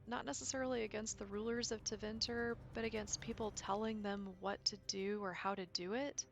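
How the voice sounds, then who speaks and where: A woman's voice: medium pitch, creaky. A woman presenting informal video commentary as a gaming lore creator, speaking in a conversational, enthusiastic way. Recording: music behind the voice. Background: background music.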